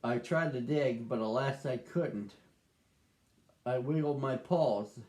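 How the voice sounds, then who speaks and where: A man's voice: medium pitch, takes it slowly, a little mellow, lightly acted. A man narrating as a sad, lonely young male dog in a children's storybook, reading earnestly. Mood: wistful.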